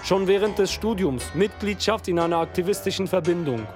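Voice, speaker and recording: Guy acting as a pointed narrator in a radio play, high voice, music under him. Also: a little fast, lightly acted. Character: male accuser and narrator, pointed storyteller.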